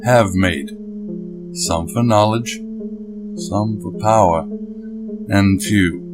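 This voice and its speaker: An actor playing an ancient, dangerous male being, speaking in a slow, grave, measured confession over music. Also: fairly low pitch. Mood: brooding. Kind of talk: audio drama monologue. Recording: music bed behind voice.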